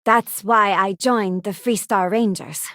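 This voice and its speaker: An actress voicing an earnest, idealistic former deputy turned starship crew member in a video game, sincere and plain-spoken. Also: female voice, medium pitch, steady tone, slow, fairly bright tone, no room sound, studio quality. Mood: earnest, reflective. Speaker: video game companion character. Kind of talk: acted video game voice lines.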